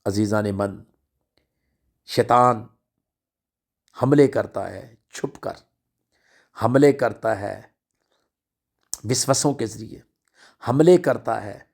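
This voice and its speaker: A man preaching an Islamic sermon in an emphatic, exhorting way, with a gravelly voice and rhetorical repetition of phrases. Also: on the low side. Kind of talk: religious sermon with recited citations.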